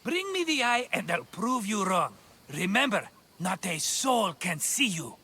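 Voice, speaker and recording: An actor playing a glib, scheming, excitable merchant in a video game cutscene, with a theatrical, wheedling, grandiose manner. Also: male voice, high-pitched, measured pace, slightly rough, heavily acted.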